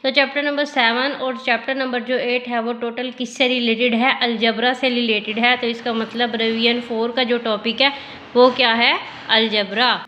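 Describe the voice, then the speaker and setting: A woman teacher, slightly hoarse from a sore throat, explains math step by step and asks questions she answers herself. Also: a little high, level tone, normal speed, pushed and bright, dull audio. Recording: echoey room.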